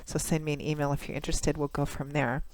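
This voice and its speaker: A woman tarot reader, hoarse from a cold, talks through a monthly reading in a measured, conversational way with frequent pauses and fillers. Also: sits low, little pitch movement, darkish tone. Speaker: tarot reader, channel host.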